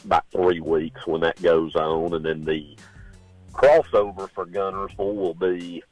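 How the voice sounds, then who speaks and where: A man, a sports analyst on a call-in radio show, reporting local sports scores in a relaxed, rambling way with many uhs. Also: sits low, a little slow, no room sound, faint music behind, low-quality recording.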